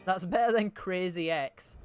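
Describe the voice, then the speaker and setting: A British man chatting casually on a live game stream, with giggly, quick asides. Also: high-pitched, soft background music. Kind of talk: casual gaming stream chat.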